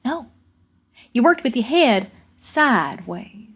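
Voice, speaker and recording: A woman playing a plainspoken young coal miner, telling a story in halting, folksy rural speech with repeated words. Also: fairly high pitch, big pitch swings, fairly bright tone, slightly rough, heavily acted. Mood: matter-of-fact, wry. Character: young coal miner recalling a deadly cave-in, plainspoken, earnest. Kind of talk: dramatic first-person storytelling monologue.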